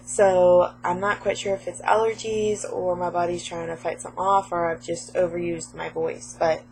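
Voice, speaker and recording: Hoarse, sniffly woman chatting about games. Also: on the low side, flat delivery, normal speed, darkish tone, slight background noise, poor audio. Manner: chatty, rambling, many fillers. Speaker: game collecting vlogger. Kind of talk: casual chat about game pickups.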